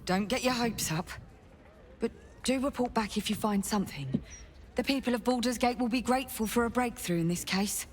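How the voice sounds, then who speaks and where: A woman's voice: fairly high pitch, moderate pace, slight background noise, natural voice. A woman playing a dutiful city guard investigator in a game, clipped, official and dryly wry. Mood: pensive, businesslike. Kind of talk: video game dialogue.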